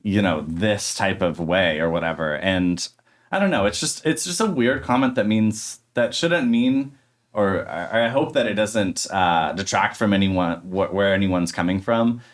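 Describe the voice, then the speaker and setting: A young man hosting a casual podcast, his voice sitting very low. He talks in a thoughtful, hesitant way, often restarting his sentences as he works out his thoughts. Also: animated, unhurried. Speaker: podcast host. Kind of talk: casual interview conversation.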